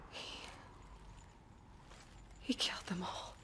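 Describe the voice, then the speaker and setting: An actress playing a determined, compassionate young warrior heroine in a video game, speaking with heartfelt, deliberate intensity. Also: female voice, sharp tone, slight background noise. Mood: hushed, grieving. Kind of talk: dramatic video game dialogue.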